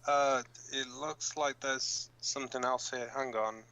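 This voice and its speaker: A man playing a flustered, blunt resistance fighter who co-hosts a radio broadcast in an amateur audio drama, speaking informally and haltingly. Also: medium pitch, steady tone, pushed and bright, highs cut off. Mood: pleasantly surprised. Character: flustered, sweary young male resistance fighter reading radio bulletins. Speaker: radio co-host in a fiction drama.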